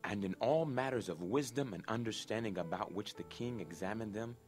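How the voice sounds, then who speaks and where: Low-voiced man narrating solemnly over music. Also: normal speed, darkish tone. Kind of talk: dramatic scripted Bible narration. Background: background music.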